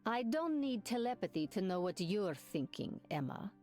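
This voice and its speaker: A defiant, intense heroine , played by a woman in a video game. She speaks earnestly and confrontationally with widely moving pitch, over faint music. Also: very animated, normal speed, a little mellow, close to an everyday voice. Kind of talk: video game hero voice lines.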